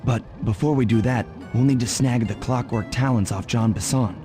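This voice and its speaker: A man playing a cocky young thief hero in a video game, smooth, wry and confident. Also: medium pitch, steady tone, moderate pace, music in the background, close to an everyday voice. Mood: confident, easygoing. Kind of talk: animated video game narration and dialogue.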